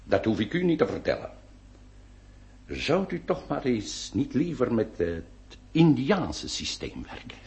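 A vain nineteenth-century surgeon in a radio play. He is pompous and soothing, and his pitch leaps and dives dramatically as he lectures in formal, weighty phrases. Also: sing-song, darkish tone. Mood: matter-of-fact. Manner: pompous, formal, deliberate emphasis. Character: self-assured, vain 19th-century surgeon, soothing and pedantic. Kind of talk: theatrical Dutch-language radio play acting.

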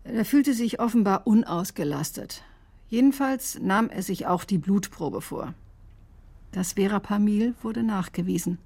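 A woman's voice: medium pitch, a little mellow, breathy edge. An actress playing a headstrong, defensive female doctor in a radio crime drama. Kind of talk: German radio drama acting.

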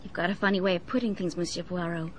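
A woman's voice: lowish voice, fairly even intonation, fast talker, slight background noise, lightly acted. An actress playing a self-assured, rich young American woman in a radio drama, direct and confident, with an American accent. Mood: frank, curious.

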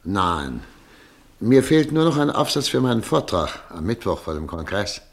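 An actor playing Albrecht, a hopeful German émigré doctor in a radio play, talking with dance music behind him. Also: male voice, lowish voice, big pitch swings, moderate pace, full character voice. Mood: polite. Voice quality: creaky.

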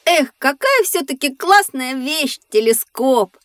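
Eager, inquisitive young pupil voiced by a woman, extremely high register, dry studio sound, educational audio play. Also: lively intonation, takes it slowly, fairly bright tone, dry recording, full character voice. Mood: amazed, eager. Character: curious, easily amazed young pupil of an astronomy professor. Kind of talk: scripted educational audio drama.

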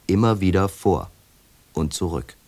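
Very deep-voiced male radio narrator. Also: background noise. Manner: measured, even, formal reading. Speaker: announcer reading stage directions. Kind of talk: scripted radio drama narration.

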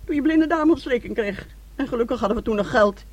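Domineering middle-aged mother, scolding and melodramatic, high-pitched with very animated intonation. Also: fast, slightly rough. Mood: wounded reproach. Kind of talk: radio play acting.

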